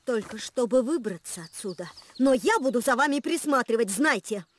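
High-voiced, fierce cartoon heroine. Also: female voice, lively intonation, normal speed. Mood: defiant. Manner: sharp, accusing, stubborn. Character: fierce, distrustful aviator's wife in jungle. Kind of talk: animated adventure cartoon dub.

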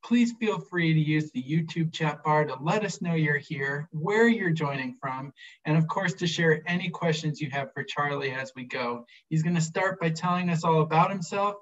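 A man's voice: fairly high pitch, normal speed. A man in his thirties hosting a live stream, reading an upbeat scripted welcome over a video call. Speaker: host. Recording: dull, distant video-call audio.

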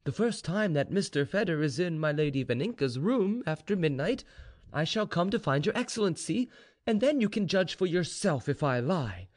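A man voicing a sly, obsequious serf informer who is quietly confident, deferential and insistent, in a storybook tale. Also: a little high, very animated, moderate pace, pitched above the performer's own voice.

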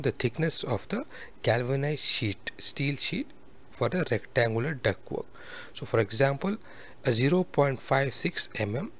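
A man giving a step-by-step technical tutorial over a screen recording, explaining methodically with worked examples. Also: mid-range voice. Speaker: instructor.

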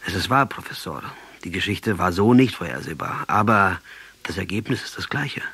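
A man's voice: sits low, animated, normal speed, pushed and bright, slightly rough, dry recording, heavily acted. A man acting in a radio drama as a defiant guerrilla revolutionary in his late thirties, sardonic and rhetorical. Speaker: radio play actor.

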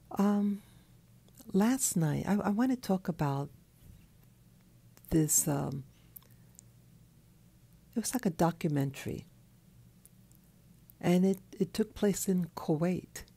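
A woman who has just woken up, talking in a soft, hoarse, half-whispered voice from her bed, slow and halting, with a clip-on mic. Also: fairly low pitch, expressive. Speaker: channel host. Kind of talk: early morning monologue. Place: in bed at night.

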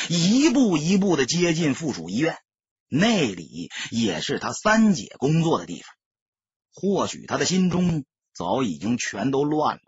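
Husky, raspy male storyteller. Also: fairly high pitch, fairly bright tone, no echo, muffled recording. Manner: emphatic, theatrical delivery with drawn-out phrases. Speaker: audiobook narrator. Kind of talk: dramatic scripted storytelling narration.

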